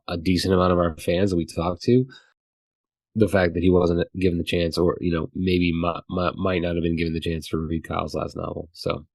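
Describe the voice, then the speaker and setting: A man co-hosting a podcast, talking casually in a halting, hesitant way and qualifying himself. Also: low-pitched, a little mellow, dry recording. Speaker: podcast co-host.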